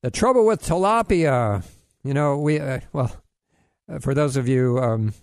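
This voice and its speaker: Man from Hawaii, radio health host, mid-pitched, loose and rambling with fillers, dry close mic. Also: lively intonation, a little slow, dry recording. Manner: rambling, many fillers and restarts, corny jokes. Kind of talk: casual radio health talk.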